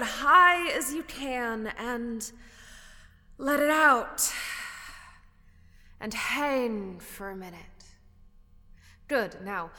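A woman's voice: fairly high pitch, sharp tone, big-room sound, heavily acted. A woman playing the playful, encouraging ghost of a drama-school actress, theatrical and airy as she coaches.

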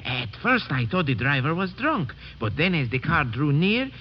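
A meek, wordy cart driver. His voice sits fairly high and leaps around as he haltingly defers to his betters. It is a dull, noisy old radio drama recording. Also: big pitch swings. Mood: earnest, halting. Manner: halting, humble, stilted foreign phrasing.

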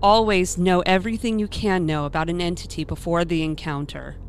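A woman voicing a tough paranormal hunter in an audio drama, talking in a brisk, commanding way. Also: on the low side, fairly even intonation, slight background noise, close to an everyday voice. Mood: determined. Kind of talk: radio play acting. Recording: audio drama over a music bed.

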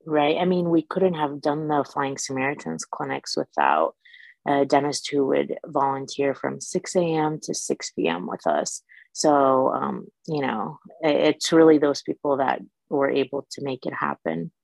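A young woman from Iraq, a dental student, answering interview questions in a measured, thoughtful way with many pauses. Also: a bass voice, expressive, vocal fry, darkish tone, dry recording, rough recording.

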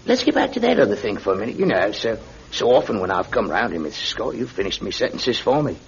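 Low-voiced British landlord, eager gambler. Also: male voice, very animated, fast. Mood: polite, curious. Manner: colloquial, uses "me" for "my". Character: blunt, eager working-class landlord with a betting habit. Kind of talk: classic radio drama acting. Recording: muffled radio recording with clear noise.

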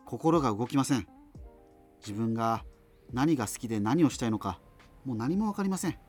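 A man's voice: mid-range voice, lively intonation, normal speed, a little mellow, husky. An actor playing a numb, lost man in an earnest, confessional scripted radio monologue. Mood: numb, despairing. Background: music.

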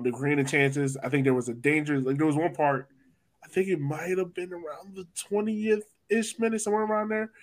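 A man hosting a casual, conversational sports discussion as a podcast host. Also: medium pitch.

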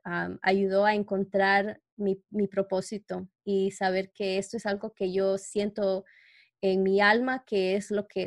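A middle-aged woman from New York speaking in a personal, reflective way as an interview guest, haltingly searching for her words. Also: medium pitch. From grew up in New York. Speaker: interview guest, educator for parents who are abuse survivors.

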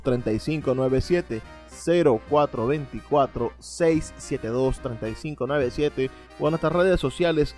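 A man's voice: medium pitch, a little mellow. A man with a Venezuelan accent speaking as a radio host, presenting a programme and its sponsors. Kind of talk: radio show introduction and sponsor reads. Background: music.

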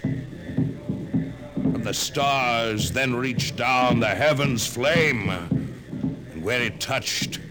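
A grave prophetic chronicler. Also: male voice, medium pitch. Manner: slow, grave, incantatory reading. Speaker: narrator. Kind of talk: 1950s radio drama narration. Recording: old radio broadcast with music behind.

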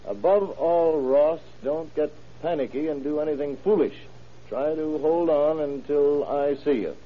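An actor playing a wry, needling, eager police detective with a folksy, teasing manner in old-time radio crime drama style. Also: male voice, fairly high pitch, measured pace. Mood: deliberate, reading aloud. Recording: tinny, noisy vintage radio recording.

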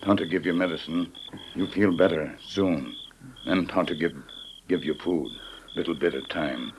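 A loyal, caring sidekick from an old radio western. His voice is deep and his English is halting, clipped pidgin. The old recording carries some noise. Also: poor audio. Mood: soothing, helpful. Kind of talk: 1950s radio western acting.

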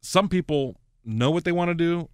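A man hosting a radio interview in an enthusiastic, chatty way, laughing often and reacting quickly. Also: on the high side, expressive, clear, resonant tone, no echo, studio quality. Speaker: radio show host. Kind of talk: casual interview.

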